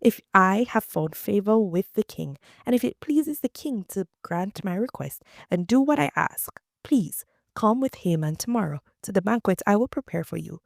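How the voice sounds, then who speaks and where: Woman reader as a resolute queen, earnest, low and gravelly, dry close sound. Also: animated, moderate pace, few pauses, no echo. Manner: earnest quoted speech within a reading.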